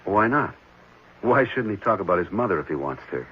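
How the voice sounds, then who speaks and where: A man playing an earnest, intense enthusiast with a husky voice and emphatic, urgent delivery in a 1970s radio drama. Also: low voice, expressive, a little fast, slight background noise. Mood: probing. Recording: muffled old radio broadcast.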